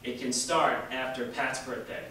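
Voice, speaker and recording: A man reciting a political speech emphatically, with rhetorical repetition and deliberate pauses, in a large echoey hall. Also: medium pitch, fairly even intonation, over loud music. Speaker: reader at a memorial staged reading. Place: large echoey indoor hall, distant microphone. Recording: echoey hall from far off, faint noise.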